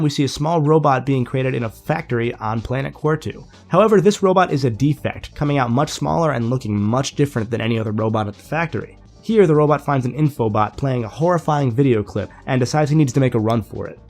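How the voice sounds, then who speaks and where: A young man narrates a scripted game review at a mid pitch. His voice sounds a little nasal and congested as he gets over a sinus infection. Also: brisk, hardly any pauses, a little mellow, soft background music.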